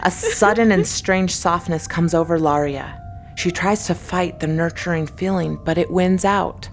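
A woman's voice: lowish voice, a little slow, faint music behind. A woman narrating a scripted dramatic story as the narrator of an audio drama.

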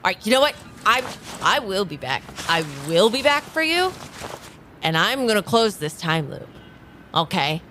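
A woman playing a determined but flustered time agent, speaking haltingly and earnestly in an improvised audio drama. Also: medium pitch, sing-song, bright, forceful tone, slight background noise. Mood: rattled, probing.

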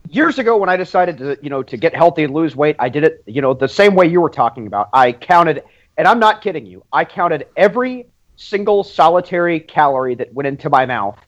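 Higher-voiced man chatting, slightly dull audio. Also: very animated, brisk. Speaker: podcast co-host. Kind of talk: casual chat, personal anecdotes.

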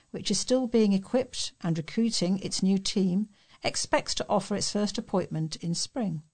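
A woman's voice: medium pitch, vocal fry, a little mellow. A British woman reading local news aloud as a volunteer news reader, occasionally stumbling over a word.

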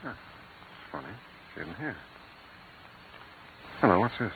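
A man playing a gentle, soothing father in a vintage radio drama. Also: on the low side, big pitch swings, a little slow. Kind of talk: 1940s radio acting. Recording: tinny, hissy old radio broadcast.

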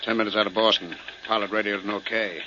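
An actor playing a terse, dutiful airport radio operator in 1940s radio style. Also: male voice, fairly low pitch, level tone, quick pace, natural voice. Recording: noisy dull old radio recording.

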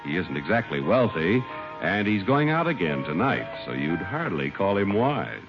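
A man's voice: deep voice, sing-song, moderate pace, over music. A man announcing in 1950s radio style, reading advertising copy and narration with emphatic, polished salesmanship. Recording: muffled old radio recording.

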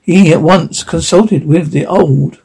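A man reading a fairy tale aloud in a halting way, sometimes stumbling and correcting himself. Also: on the high side, vocal fry, a little mellow, husky, low-quality recording. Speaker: story reader.

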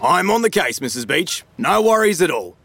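An actor playing a slippery, matey Australian financial dealer in an audio drama, full of slangy, glib sales patter. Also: male voice, fairly high pitch, very animated, moderate pace, gravelly, a bit bright, a little hiss or hum, heavily acted. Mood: flustered, eager.

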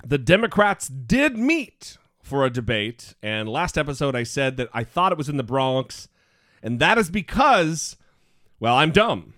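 A man's voice: medium pitch, sing-song, unhurried, a bit bright, no room sound. A man co-hosting a podcast in casual political chat, with a goofy voice and a playful, sarcastic, teasing manner. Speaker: podcast co-host.

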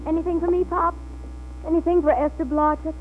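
An earnest, dreamy young hopeful in a 1940s radio play. Her voice sits high. The recording is narrow and tinny, with a clear hum. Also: level tone, brisk. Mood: downcast.

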